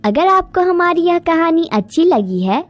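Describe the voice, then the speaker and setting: A woman's voice: very high-pitched, big pitch swings, unhurried, no echo. A woman storyteller giving scripted narration of a children's story. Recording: music behind the voice.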